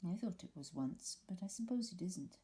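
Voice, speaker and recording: A woman voicing a gentle, simple-minded male bear who speaks softly and dolefully. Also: lowish voice, expressive, normal speed, lightly acted. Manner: soft, doleful speech.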